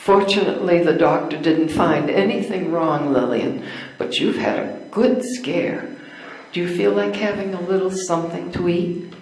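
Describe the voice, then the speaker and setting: Older woman as a steady friend, echoey room. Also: lowish voice, moderate pace, natural voice. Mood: reassuring. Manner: reassuring, practical. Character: kind, steady female friend soothing someone. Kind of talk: amateur readers theater.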